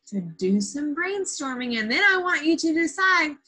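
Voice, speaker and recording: A woman teacher leading an interactive lesson for young children after a read-aloud, in simple, patient phrases with questions and drawn-out key words. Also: sits high, animated, pushed and bright. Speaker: primary school teacher.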